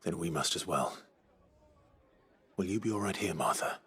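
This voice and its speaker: A man's voice: low voice, animated, normal speed, vocal fry, a bit bright, breathy edge, exaggerated voice. An actor playing a grave, earnest young swordsman in a dramatic game cutscene. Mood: grave, troubled.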